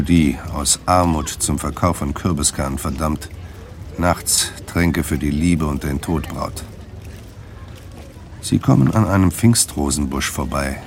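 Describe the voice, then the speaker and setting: An actor as a wry, hard-boiled storyteller, giving measured literary narration in a radio drama. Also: male voice, extremely low pitch, a little hiss or hum.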